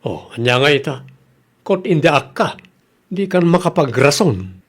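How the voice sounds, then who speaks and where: A man's voice: a little high, very animated, normal speed, breathy edge. A plain-spoken Ilocano father in a radio drama. He questions and grumbles like a concerned head of the household, ready to scold. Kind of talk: Filipino radio comedy drama acting.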